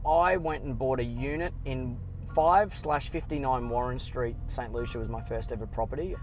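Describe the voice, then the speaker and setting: A man's voice: medium pitch, normal speed. Chatty man, music behind. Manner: chatty storyteller, lots of "you know" and "like". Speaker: guest, real estate agent. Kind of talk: casual conversation in a car. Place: inside a car.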